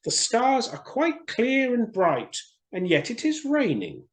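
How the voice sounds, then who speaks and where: A man's voice: very high-pitched, wildly swooping pitch, slow pace, voice pushed up, exaggerated voice. A man voicing a small, vain, self-important swallow in a storybook, with a fussy, exclamatory way of talking. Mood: grumbling.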